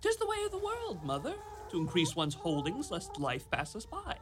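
A woman acts a grandiose young lad in a fairy-tale radio play. The voice is lowish but swings widely, full of florid boasting and cowardly fuss. There is some noise behind it. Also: wildly swooping pitch, normal speed. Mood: lofty. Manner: theatrical, florid, pompous. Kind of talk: live radio play acting. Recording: live studio recording, noisy ambience.

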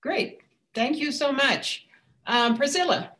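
A woman hosting a community radio program, speaking in a measured way. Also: high voice, lively intonation, fairly bright tone. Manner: slow, measured. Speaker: radio show host.

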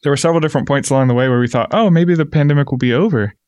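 A man's voice: mid-range voice, racing, a little mellow, dry recording. A man, a shop co-owner, explaining things conversationally as an interview guest.

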